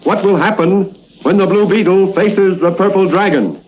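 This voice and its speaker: A man's voice: high voice, normal speed, slightly rough. A man narrating a 1940s radio drama in a dramatic, suspenseful way. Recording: dull old radio recording, faint hiss.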